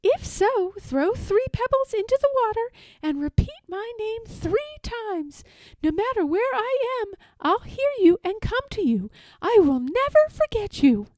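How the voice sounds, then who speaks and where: A woman voicing a gentle young storybook mermaid who speaks in a polite, pleading, earnest way. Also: very high-pitched, sing-song, unhurried, dry recording, voice pushed up, exaggerated voice, low-quality recording. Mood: earnest, grateful. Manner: polite, pleading, old-fashioned storybook phrasing.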